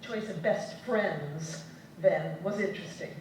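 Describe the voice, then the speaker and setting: A woman's voice: on the low side, unhurried, darkish tone. Woman hosting a panel, asks questions, far off mic, big room. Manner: inquiring, takes questions from the floor. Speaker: panel moderator. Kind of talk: panel discussion. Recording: echoey hall from far off, faint noise.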